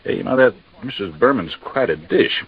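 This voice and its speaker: Low-voiced chatty Coast Guard captain. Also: male voice, animated, normal speed, creaky, breathy edge, heavily acted. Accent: American. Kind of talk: 1950s American radio drama acting. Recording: dull, dry vintage radio recording.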